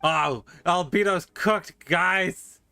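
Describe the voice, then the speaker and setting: A man casually reacting out loud to a video game as he plays it. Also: high voice, very slow, creaky, soft background music. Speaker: game streamer. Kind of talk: casual live gameplay reaction.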